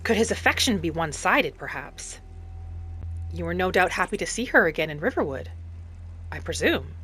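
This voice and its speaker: A woman voicing a gentle, curious wood elf traveler, musing in first person as she narrates a cozy game roleplay. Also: fairly low pitch, big pitch swings, moderate pace. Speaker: gamer narrating a roleplay as her character. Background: game music behind the voice.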